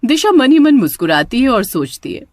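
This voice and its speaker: Animated woman storyteller, close and dry. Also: high voice, moderate pace, no room sound. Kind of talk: scripted story narration.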